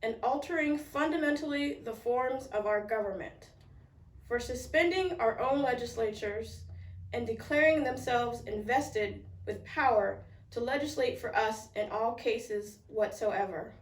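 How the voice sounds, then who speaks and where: A woman's voice: on the high side, a little slow. A woman giving a formal public reading of a historic document. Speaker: public reader at a commemorative event. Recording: roomy echo, distant microphone.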